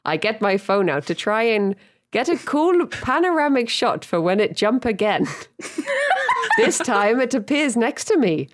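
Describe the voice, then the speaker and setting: A woman's voice: on the high side, very animated, a little slow. A woman podcast host reading a guest's dream diary aloud, breaking into frequent laughter. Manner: giggly, reads with frequent laughter.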